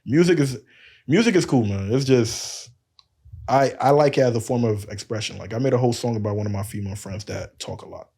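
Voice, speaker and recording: A man with a deep voice and a lisp, telling loose stories on a casual podcast and acting out quoted lines. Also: fairly quick, vocal fry, dark tone, breathy edge. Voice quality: says he has a lisp. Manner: loose storytelling, acts out quotes, laughs often. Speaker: podcast cohost.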